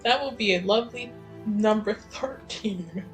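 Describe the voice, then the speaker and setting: A woman's voice: mid-range voice, measured pace, faint music behind. A woman playing a tabletop game, chatting casually and expressively about what her character does. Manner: expressive, sweary, informal.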